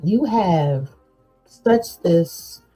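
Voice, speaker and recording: A woman hosting a podcast, chatting slowly and loosely with lots of fillers. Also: on the low side, animated, dark tone, soft background music, poor audio. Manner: slow, drawn-out, chatty with many fillers. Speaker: podcast host. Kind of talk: casual chat.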